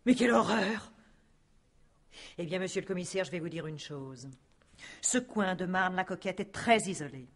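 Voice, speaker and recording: A woman's voice: lowish voice, animated, moderate pace, pauses often. A woman playing a self-important, gossipy painter and neighbour in a radio crime drama, with an emphatic, theatrical delivery. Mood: shocked, indignant. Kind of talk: 1950s-style French radio crime drama acting.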